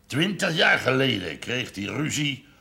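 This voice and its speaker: An actor playing a proud, blunt, domineering sixty-year-old farmer in a seventies television drama. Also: male voice, medium pitch, very animated, vocal fry, bright, forceful tone, exaggerated voice. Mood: stern. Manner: blunt, commanding, deliberate storytelling. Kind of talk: 1970s Dutch television drama acting. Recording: noisy old television soundtrack.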